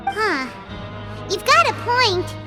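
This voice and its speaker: Tiny fairy girl, squeaky and very high, chirpy, outspoken, swooping intonation, video game character, music behind. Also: sing-song, takes it slowly, exaggerated voice. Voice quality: squeaky, childlike. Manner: speaks of herself in the third person, exclamatory. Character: small childlike fairy companion girl, chirpy, curious, outspoken.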